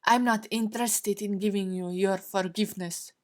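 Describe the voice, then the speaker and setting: A woman's voice: medium pitch, fairly even intonation, moderate pace, a little mellow, natural voice. Bitter, guarded young woman played by an actress. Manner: curt, sarcastic. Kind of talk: audio drama acting.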